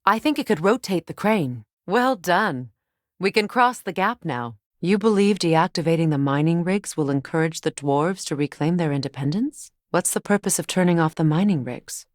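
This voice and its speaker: An actress voicing a stern, wise warrior goddess in a video game, speaking with measured, formal diction. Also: female voice, fairly low pitch, animated, no room sound, clean studio sound, lightly acted. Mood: grave, probing. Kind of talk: dramatic video game voice acting.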